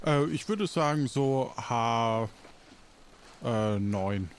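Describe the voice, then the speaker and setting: A man's voice: medium pitch, expressive, drawn-out words, slight background noise. A man narrating an improvised audio role-play as game master, casual and hesitant in setting the scene.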